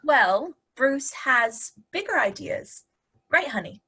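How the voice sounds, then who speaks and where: Woman acting sardonic female relative, pointed delivery, sharp husky tone, animated, stage-play read. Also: high-pitched, slow, heavily acted. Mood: wry. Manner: dry, pointed sarcasm. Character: sardonic, needling woman mocking a relative's schemes.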